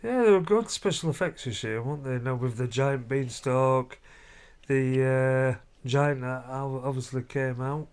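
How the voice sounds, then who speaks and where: A man's voice: mid-range voice, measured pace, darkish tone, smooth. A man chatting casually as a family vlog host, often tagging questions onto his remarks. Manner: chatty, seeking agreement with tag questions. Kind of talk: casual chat reviewing a family outing. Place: hotel room.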